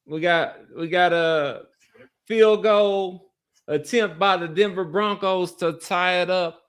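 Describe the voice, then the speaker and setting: A man hosting a sports podcast in a casual, conversational way, rambling a little and pausing to search for words. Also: high-pitched, steady tone, a bit bright, smooth. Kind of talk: casual sports chat and community sign-off.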